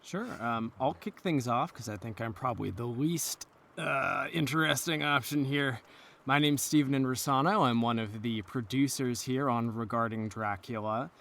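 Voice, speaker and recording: A man's voice: mid-range voice, normal speed, creaky, fairly bright tone. A man who produces audio drama, talking casually in an interview.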